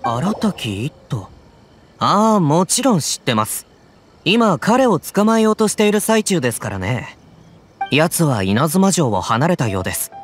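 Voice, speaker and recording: An actor playing a stern, businesslike official in a video game, speaking formally and with indignation. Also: male voice, a little high, big pitch swings, a little slow, faint music behind. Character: stern official hunting a fugitive.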